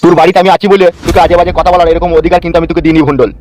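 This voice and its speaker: A man's voice: fairly high pitch, level tone, racing, a little mellow, close to an everyday voice. A man voicing a doting, touchy young newlywed husband in a comedy cartoon. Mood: offended.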